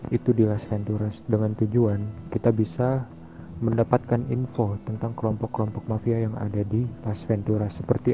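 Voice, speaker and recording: A man speaking formally and politely in an in-character online gangster roleplay negotiation. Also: lowish voice. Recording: dull lo-fi voice chat, loud music. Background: music.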